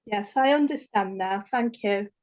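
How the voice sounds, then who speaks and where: A woman attending a video call, soft-spoken with short replies and a question. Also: medium pitch, measured pace, dull audio.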